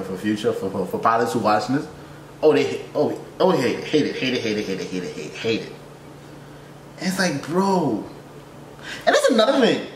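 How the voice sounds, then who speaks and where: A young man tells stories in a casual, exasperated rant, repeating himself and using slang. His pitch swings widely as he gets animated. The room adds echo and faint noise behind him. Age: young adult. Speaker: reaction video host, air traffic controller. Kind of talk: casual reaction commentary with storytelling. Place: small furnished room indoors.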